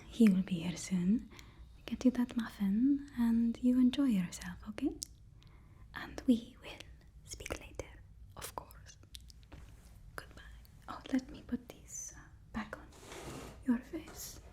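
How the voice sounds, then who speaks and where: Female, higher-pitched and whispery, for ASMR role-play: a placating, apologetic personal assistant flattering a supervillain boss. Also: takes it slowly, long pauses. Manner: hesitant, placating, deferential. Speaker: ASMR performer playing a villain's personal assistant.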